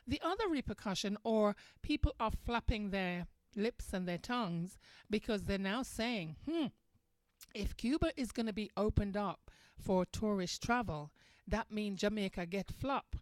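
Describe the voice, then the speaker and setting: A woman's voice: medium pitch, animated, unhurried, dry recording. Female talk-show host, mid-range voice, conversational live commentary to listeners, very dry close studio sound. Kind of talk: live internet radio commentary, addressing chat room. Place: radio studio.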